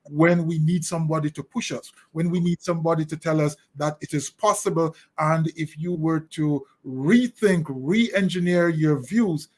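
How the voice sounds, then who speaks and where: Interview guest: Jamaican man, middle-aged, slightly higher pitch, deliberate and thoughtful delivery. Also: fairly even intonation. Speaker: interview guest, leadership consultant.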